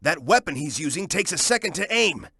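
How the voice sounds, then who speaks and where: Young male cartoon echidna game hero, American accent, brash, commanding, animated swoops, dry close sound, punchy callouts. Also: a little high, a little fast, creaky, husky, no room sound, heavily acted. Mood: urgent, warning. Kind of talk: video game hint lines and battle callouts.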